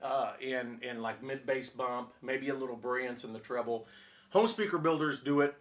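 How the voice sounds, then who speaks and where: A man's voice: medium pitch, moderate pace, a bit bright. Male expert co-host, mid-range voice. Conversational explainer, emphatic, circles back to main points. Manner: emphatic, repeats key points. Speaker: audio reviewer and speaker designer, co-host.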